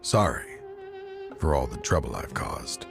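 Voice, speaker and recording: An actor playing a dying, remorseful middle-aged enforcer in a video game, speaking slowly and solemnly with weary resolve. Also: male voice, extremely low pitch, huge pitch swings, gravelly, full character voice. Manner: slow, solemn, sighing. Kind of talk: dramatic video game voice acting. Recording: game music behind the voice.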